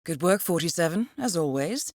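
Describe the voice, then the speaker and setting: A woman playing a composed, calculating intelligence handler in a video game, giving measured, precise mission briefings with dry, understated wit. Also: fairly low pitch, expressive, darkish tone, dry recording. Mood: calm briefing.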